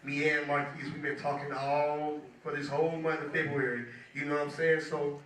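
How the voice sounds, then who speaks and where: A young man in his twenties speaks to a crowd, hoarse from days of talking, in a loose, chatty way. His voice is bright and a little high. It is heard from a distance in an echoey hall. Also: flat delivery, normal speed, a little hiss or hum. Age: 20s. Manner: loose and chatty, keeps asking the crowd "you feel me". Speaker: guest speaker, music producer. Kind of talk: casual motivational talk to an audience.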